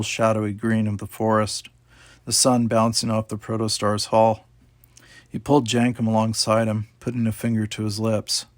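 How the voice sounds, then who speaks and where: A man's voice: lowish voice, no room sound, studio quality. A man reading a children's adventure story aloud as scripted narration. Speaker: audiobook reader.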